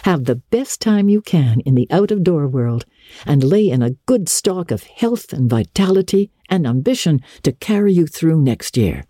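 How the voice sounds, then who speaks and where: A woman voicing a kindly, encouraging schoolteacher in a dramatic storybook reading, speaking in a measured way. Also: deep voice, very animated, mellow, dry recording, studio quality.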